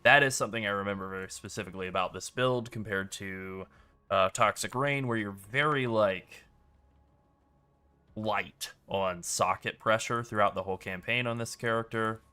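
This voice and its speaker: A man's voice: fairly low pitch, normal speed, clear, resonant tone. A man streaming a video game, commenting casually as he plays, with a rambling delivery full of "like.". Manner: relaxed, rambling, many "like" fillers.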